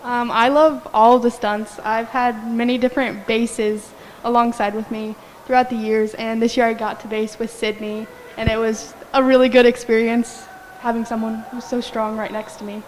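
A woman's voice: a little high, little pitch movement, moderate pace, few pauses, slight background noise, poor audio. A teenage cheerleader telling a story casually, laughing as she talks. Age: teens. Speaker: cheerleader guest. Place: large classroom.

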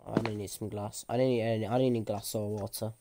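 A man's voice: fairly low pitch, flat delivery, fast. Young man on a very noisy mic. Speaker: role-play gamer. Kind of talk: improvised in-game role-play, casual.

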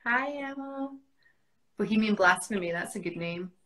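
A woman's voice: mid-range voice, lively intonation, moderate pace, sharp tone. Scottish woman in her forties, guest on a home webcam call, chatty and easygoing. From Scotland. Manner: chatty, reads out greetings from viewers. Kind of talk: casual video call chat. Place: home room indoors. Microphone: video call webcam.

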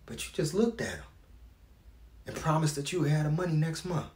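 A man's voice: fairly high pitch, lively intonation, normal speed, a little mellow, lightly acted. A man acting a dramatic stage monologue as a proud, wounded grown son speaking to his father. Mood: pained, intense. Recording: echoey room.

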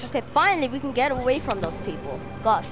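A woman's voice: high-pitched, big pitch swings, fast, over music. A woman chatting casually as a player in an improvised game roleplay.

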